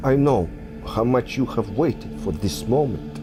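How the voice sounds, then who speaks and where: An actor playing a commanding, fanatical villain in an audio drama, with an imperious, deliberate and theatrical manner. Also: male voice, medium pitch, animated, over music, lightly acted. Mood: cold, commanding. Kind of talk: scripted audio drama acting.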